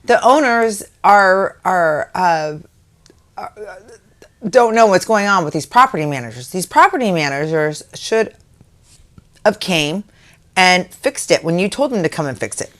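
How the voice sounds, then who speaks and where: A woman's voice: fairly low pitch, lively intonation, unhurried. A woman co-hosting a casual chat, telling stories animatedly with lots of restarts. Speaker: co-host.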